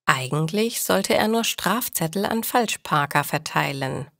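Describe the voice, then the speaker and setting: A woman narrates a simple story for language learners. She speaks slowly, with a lively melody, in a dry, close recording. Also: low-pitched, gravelly, no echo. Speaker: narrator of German learning stories. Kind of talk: slow, clear scripted story narration for learners.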